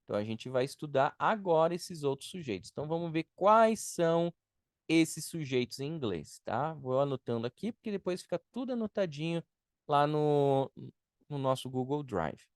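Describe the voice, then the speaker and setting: A man's voice: on the high side, expressive, normal speed, smooth, dry recording, clean studio sound. A Brazilian man, a native Portuguese speaker, teaching a beginner language lesson step by step, repeating items and checking that students follow. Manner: didactic, step-by-step with repetition and check-in tags. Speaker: English teacher.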